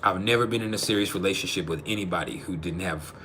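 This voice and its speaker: Male livestreamer, low natural register, loose slangy delivery with blunt cursing, music underneath. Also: fast, bright, forceful tone, a little hiss or hum. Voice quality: naturally deep voice. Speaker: livestream host. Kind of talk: casual live chat with viewers.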